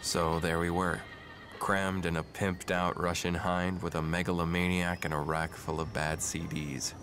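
A man's voice: low-pitched, level tone, normal speed, fairly bright tone, faint music behind. An actor playing a wry, laconic soldier who narrates his squad's misadventures in a video game.

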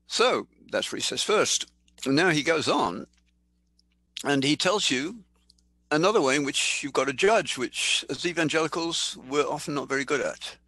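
An older man giving a sermon in a thoughtful, conversational way, slowing down to read scripture aloud. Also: mid-range voice, creaky, sharp tone, slightly rough. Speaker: preacher. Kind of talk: sermon teaching.

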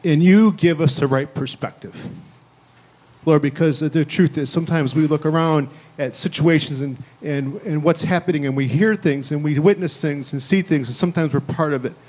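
Higher-voiced man praying earnestly. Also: moderate pace, a little mellow. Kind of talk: spontaneous public prayer. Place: church sanctuary, indoors.

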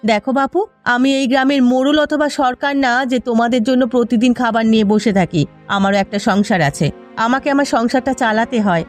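A woman's voice: a little high, fast talker, soft background music, voice pushed down, lightly acted. A woman voicing a grumpy, stingy village housewife in a cartoon, with a scolding, sneering manner. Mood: scornful, scolding. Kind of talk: Bengali cartoon voice acting.

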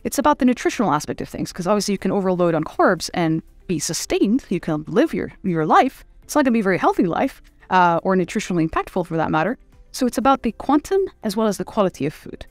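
A woman's voice: mid-range voice, sing-song, quick pace, no room sound. Woman, thirties, finance guest, thoughtful, hesitant fillers, dry close mic, faint music. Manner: thoughtful, many fillers and restarts. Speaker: private equity managing director, guest. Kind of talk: conversational interview. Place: studio set with city backdrop. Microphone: desk microphone.

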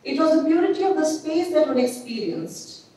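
A woman's voice: high voice, big pitch swings, warm tone. Woman lecturing in an echoey hall. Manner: measured, reflective reading with small restarts. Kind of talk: reading a prepared lecture. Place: dim gallery hall, seated at a desk. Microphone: gooseneck desk mic. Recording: echoey gallery hall, mic at a distance.

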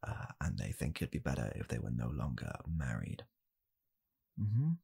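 A young man playing a blunt, callous father in an ASMR comedy roleplay, speaking softly and haltingly in a deadpan way. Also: a bass voice, gravelly, husky, heavily acted. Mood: awkward, grave. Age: young adult. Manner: soft-spoken, halting, deadpan. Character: blunt, callous father calmly blaming his child. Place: small home room, indoors.

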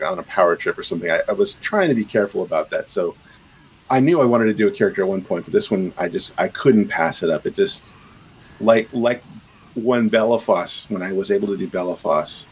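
A man's voice: on the low side, slight background noise, low-quality recording. A middle-aged man, a game composer and sound designer, talking casually on a video call with many fillers and restarts. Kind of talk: casual podcast interview.

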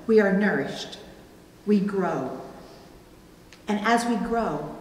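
A middle-aged woman preaching a sermon from a pulpit, with deliberate, emphatic phrasing and pauses. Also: mid-range voice. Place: indoors at a church pulpit. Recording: roomy, reverberant church, distant, faint noise.

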